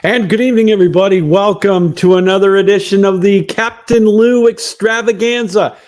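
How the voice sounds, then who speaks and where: A man's voice: high-pitched, steady tone, a little fast, a little mellow. A man hosting a casual solo sports broadcast, talking in a rambling, conversational way. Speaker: sports show host.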